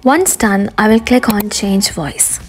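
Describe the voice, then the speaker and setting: A woman hosting and narrating a scripted step-by-step software tutorial. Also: medium pitch, slow. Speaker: tutorial host. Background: background music.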